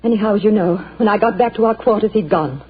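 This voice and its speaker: An actress playing the distraught, upper-class wife of a general in a 1940s radio drama, tremulous and pleading. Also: female voice, mid-range voice, fast, breathy edge, faint music behind, natural voice. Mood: worried. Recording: lo-fi old radio broadcast.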